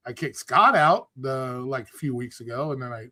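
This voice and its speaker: A man's voice: medium pitch, animated, moderate pace, bright, forceful tone. A man hosting a casual online talk stream, congested and losing his voice, talking loosely with lots of swearing. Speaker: host. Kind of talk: casual livestream chat.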